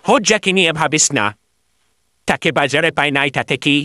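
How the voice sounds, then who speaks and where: A man voicing an excitable, flattering village man in a cartoon. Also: fairly high pitch, fairly quick, no room sound, clean studio sound. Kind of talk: cartoon voice acting.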